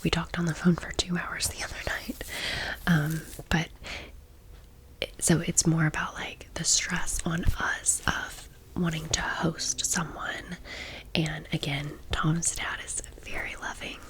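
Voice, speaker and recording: A woman's voice: low voice, level tone, measured pace, a bit bright, rough recording. A woman in her thirties whispering a chatty, informal ASMR storytime as the host of her channel. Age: 30s. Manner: chatty, informal, gushing asides.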